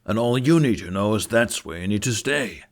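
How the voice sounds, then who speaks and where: A man voicing a stern, gruff, protective father who is a king in a fantasy story. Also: lowish voice, animated, moderate pace. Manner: scolding, commanding, protective.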